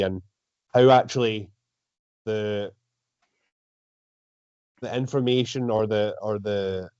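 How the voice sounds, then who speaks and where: A Scottish man hosting a casual interview, feeling his way haltingly through long, rephrased sentences. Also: lowish voice, a little mellow, dry recording, lo-fi sound. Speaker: host, strength coach.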